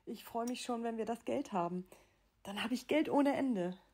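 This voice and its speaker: A mellow, slightly high female voice of a woman acting as a scheming wife in a radio play. She is bossy, greedy and stubborn. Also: normal speed. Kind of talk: amateur audio drama acting.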